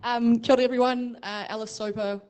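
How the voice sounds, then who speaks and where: A woman's voice: on the high side, steady tone, fairly quick, a little mellow, clear, resonant tone. A woman with a New Zealand accent speaking as a resident at a public meeting, frustrated and pointed in her questions.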